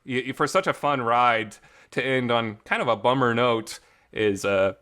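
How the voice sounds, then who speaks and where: A man's voice: mid-range voice, moderate pace, bright, forceful tone. A man giving a casual, unscripted review as host, talking emotionally and emphatically with some mild swearing. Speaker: host of a visual novel review show. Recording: reverberant amateur home recording.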